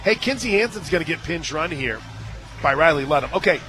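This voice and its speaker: A man calling softball play-by-play on the radio, chatting casually between pitches and lifting with excitement on big plays. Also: fairly quick. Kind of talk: live softball commentary with casual booth chat. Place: stadium radio booth.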